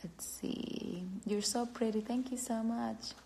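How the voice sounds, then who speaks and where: A woman from Cuba with a Cuban Spanish accent, chatting casually on a phone livestream, halting and gushing as she answers fans. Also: a little high, steady tone, gravelly, dark tone, a little hiss or hum. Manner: halting, searching for words, gushing.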